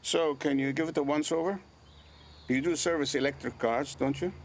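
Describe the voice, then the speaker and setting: An actor playing an affable, self-assured garage customer in a radio soap. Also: male voice, fairly high pitch, moderate pace, slight background noise, natural voice. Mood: affable, brisk. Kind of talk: radio soap opera acting.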